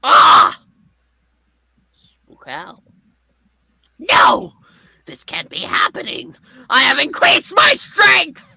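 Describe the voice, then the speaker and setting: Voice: high voice, huge pitch swings, very slow, vocal fry, long pauses, bright, forceful tone, no echo, voice pushed up, exaggerated voice. A performer voicing a gloating, ranting male hobgoblin supervillain in a grandiose way, as in a live comic book reading. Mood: outraged. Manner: theatrical, grandiose.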